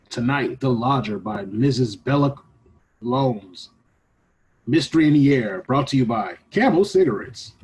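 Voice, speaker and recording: Casting: male actor as formal 1940s radio show announcer, solemn, measured, middle register. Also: medium pitch, a little mellow, lightly acted, low-quality recording.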